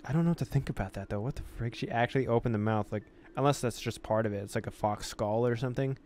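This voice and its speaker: A man's voice: medium pitch, quick pace, darkish tone, soft background music. A man reading a visual novel aloud as a gamer, mixing story narration with casual commentary. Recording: noticeable background noise.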